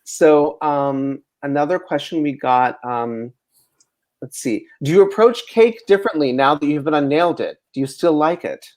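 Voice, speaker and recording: An interviewer hosts a casual conversation and passes on audience questions. The delivery is tentative and a little halting, in an informal, conversational style. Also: medium pitch, darkish tone.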